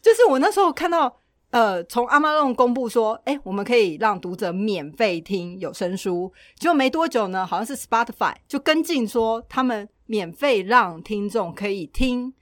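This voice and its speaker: Animated high-voiced Taiwanese woman chatting. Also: normal speed, no room sound, clean studio sound. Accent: Taiwanese Mandarin. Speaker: podcast host. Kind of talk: casual podcast chat.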